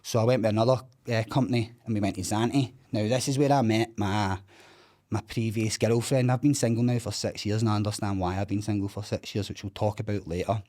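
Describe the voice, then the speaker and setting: A Scottish man in his thirties tells his own story in a casual interview. His voice sits a little low, and he talks bluntly and loudly, swearing freely and calling people mate and man. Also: steady tone, a little fast. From Scotland. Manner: blunt and sweary, self-described loudmouth. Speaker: guest telling his story.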